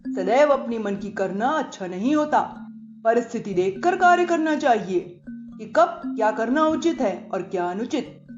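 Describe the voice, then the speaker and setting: A woman's voice: a little high, animated. Storybook elephant, played by a woman: sensible, stern, lecturing friend; echoey, slightly dull recording. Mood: stern, reproachful. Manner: deliberate, admonishing, lecturing. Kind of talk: children's storybook character voice. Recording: muffled echoey recording under music.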